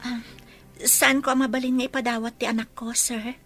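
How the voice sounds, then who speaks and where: A young pregnant housemaid played by a woman in an Ilocano radio drama. She has an Ilocano accent and a fairly high, bright voice. She speaks with timid, anxious deference. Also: level tone, brisk, faint music behind. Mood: timid, reluctant. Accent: Filipino, Ilocano. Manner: hesitant, deferential.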